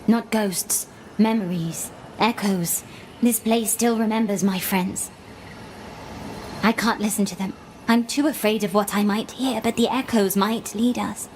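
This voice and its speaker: A woman's voice: medium pitch, fairly even intonation, a little slow, slight background noise, natural voice. Actress as a solemn, grieving blind fantasy woman. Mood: hushed, haunted. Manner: hushed, solemn, lyrical storytelling in short phrases. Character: grieving, fearful blind woman, gentle keeper of old magic. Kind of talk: video game character acting.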